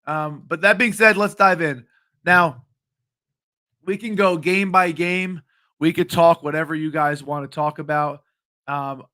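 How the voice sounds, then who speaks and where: A man in his late twenties hosting a sports betting stream, talking casually with many ums, his voice a bit hoarse. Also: a little high, sharp tone, dry recording. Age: late 20s. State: slightly hoarse voice. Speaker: sports betting show host. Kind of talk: live-streamed betting picks talk.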